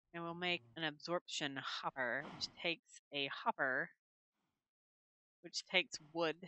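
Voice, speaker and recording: Woman gamer, bright tone, home desk mic, rambling let's-play chatter to herself. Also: low voice, slight background noise, rough recording. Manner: rambling, talks to herself while playing. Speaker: gaming channel host. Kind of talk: casual let's-play commentary. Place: her room at home. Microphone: desk microphone.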